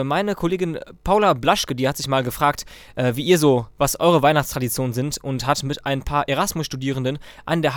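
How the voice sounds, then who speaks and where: A man's voice: mid-range voice, dry recording. A man presenting a radio segment in a loose, casual manner with many fillers. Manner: loose, filler-laden introduction.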